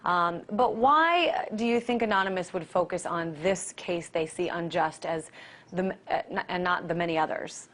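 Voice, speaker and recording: A woman's voice: on the low side, moderate pace, fairly bright tone. American woman anchoring the news. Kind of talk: broadcast news delivery and live interviewing.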